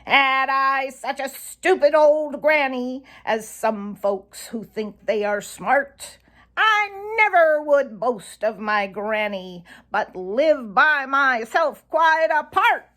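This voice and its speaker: A woman's voice: high-pitched, lively intonation, takes it slowly, sharp tone, voice pushed up, exaggerated voice. A cheeky, mocking male jay from a children's storybook, acted out by a woman. He taunts in a raised, provoking voice and takes his time over each jab, smug and gleeful. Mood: taunting.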